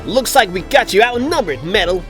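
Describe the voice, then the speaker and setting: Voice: medium pitch, lively intonation, a little fast, a bit bright, faint music behind, natural voice. An actor voicing a cocky, upbeat young male hedgehog hero with a breezy manner in a fan audio drama. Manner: breezy, taunting.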